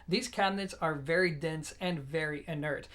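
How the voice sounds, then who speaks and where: Mid-pitched hi-fi gear reviewer. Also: moderate pace, a bit bright. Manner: explanatory, addresses viewers informally as guys. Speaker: product reviewer and show host. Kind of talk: audio gear review presented to camera.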